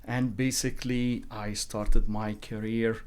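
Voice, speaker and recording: A man in his fifties, originally from Egypt, a guest talking about his career in a measured, reflective way. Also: lowish voice, level tone, a little mellow, smooth. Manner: measured, reflective, frequent uh fillers. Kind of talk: interview.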